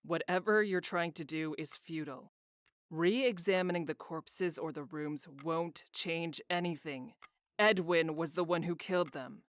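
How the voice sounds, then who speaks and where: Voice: mid-range voice, measured pace, fairly bright tone, dry recording, studio quality, lightly acted. An actor playing a curt, condescending head of a town guard in a fantasy visual novel. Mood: dismissive. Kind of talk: visual novel character acting.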